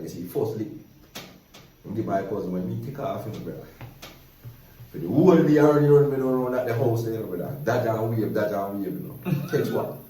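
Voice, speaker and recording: A Jamaican man tells stories in a lively, laughing way, his voice sitting low and deep. He is caught from some distance away, so the sound is very roomy and echoey, with a faint hiss underneath. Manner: lively, laughing, animated storytelling in patois. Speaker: guest storyteller. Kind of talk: casual storytelling chat.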